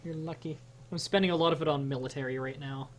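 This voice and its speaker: Brief: co-streamer, mid pitch, deadpan teasing, casual game talk, slight hiss. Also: fast talker. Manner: dry, teasing banter. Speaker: let's play co-streamer. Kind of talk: casual gaming chat.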